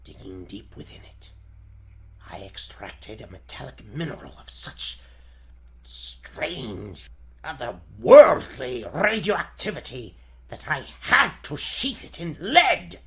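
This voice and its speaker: A man playing a feeble, elderly, excitable scientist with a grandiose, formal delivery in a 1940s radio serial style. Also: mid-range voice, slow pace, gravelly, heavily acted. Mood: grave, secretive. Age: older.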